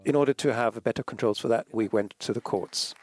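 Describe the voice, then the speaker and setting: A man giving a conference talk on surveillance litigation, speaking in a measured way with frequent throat-clearing and hesitations. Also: mid-range voice, darkish tone. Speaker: legal advocate.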